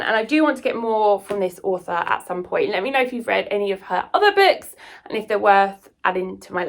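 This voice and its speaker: A British woman chatting casually and enthusiastically to viewers in a rambling book vlog. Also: medium pitch. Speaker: book vlogger and writer.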